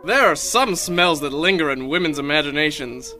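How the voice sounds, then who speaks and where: A man's voice: a little high, animated, moderate pace, bright, forceful tone. A young man playing a mock-earnest, grandiose announcer in a parody 1930s radio advertisement. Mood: grandly persuasive. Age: young adult. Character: earnest smooth-talking male 1930s radio sponsor announcer. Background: music.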